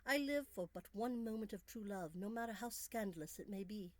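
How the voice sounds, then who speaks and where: A woman's voice: mid-range voice, fairly quick, darkish tone, no echo, close to an everyday voice. A woman voicing a bold, melancholy young Victorian Englishwoman in a storybook, candid and earnest, with formal, emphatic phrasing.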